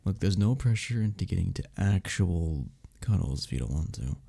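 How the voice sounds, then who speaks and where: A man playing a flirty, friendly club member in an ASMR romance roleplay, teasing and reassuring in a breathy, gravelly voice. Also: low-pitched, lively intonation, normal speed, warm tone, heavily acted. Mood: gentle, inviting. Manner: teasing, reassuring, chuckles between phrases. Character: flirty, friendly male cuddle club member showing a newcomer around. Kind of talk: ASMR romance roleplay, one-sided conversation.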